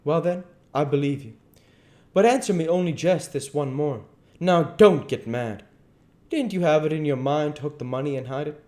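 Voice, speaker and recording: A man's voice: a little high, moderate pace, mellow, pitched above the performer's own voice, natural voice. A man voicing a blustering old con man with folksy rural speech in a dramatised storybook reading. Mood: wheedling protest.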